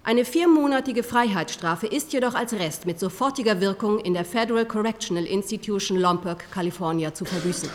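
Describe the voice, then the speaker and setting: Actress as stern judge in echoing hall. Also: female voice, medium pitch, background noise, lightly acted. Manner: formal, measured legal reading. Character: formal, stern female judge pronouncing sentence. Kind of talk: German radio play acting. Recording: long reverberant hall.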